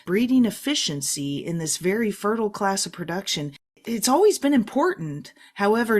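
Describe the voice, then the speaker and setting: A woman hosting a podcast, giving prepared introductions and putting interview questions to a guest. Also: lowish voice. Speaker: podcast host.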